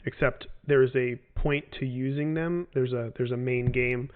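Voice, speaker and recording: A man's voice: mid-range voice, steady tone, measured pace. A man in his forties answering fan questions in a casual livestream, rambling and hesitant with lots of fillers and restarts. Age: 40s. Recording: tinny phone-like audio.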